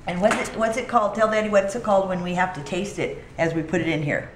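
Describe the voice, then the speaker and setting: A woman's voice: on the low side, fairly even intonation, fast. A woman chatting casually with her husband and daughter in a home kitchen, encouraging her child. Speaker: mother in a family vlog. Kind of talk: casual family chat. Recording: echoey kitchen with background noise.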